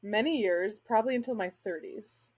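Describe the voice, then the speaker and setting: A middle-aged woman speaks at a medium pitch with a slightly rough, breathy edge to her voice. She is an author being interviewed, and she talks in a chatty, informal, self-deprecating style. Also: moderate pace, a little mellow. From Spain. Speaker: guest author and literary agent. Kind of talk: casual interview chat.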